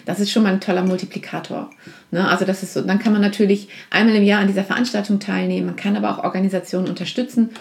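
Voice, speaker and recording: A woman speaks earnestly as a podcast guest, her voice gravelly with plenty of creak. She often pauses on fillers and restarts her sentences, and her pitch sits in the middle range. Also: steady tone, a little mellow. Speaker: guest from a support organization. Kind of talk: podcast interview.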